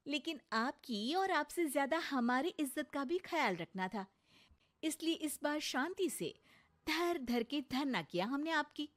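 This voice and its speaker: A woman's voice: sits high, pitched above the performer's own voice. A woman voicing a clever young daughter-in-law in a cartoon moral story, assertive, righteous and slyly pointed.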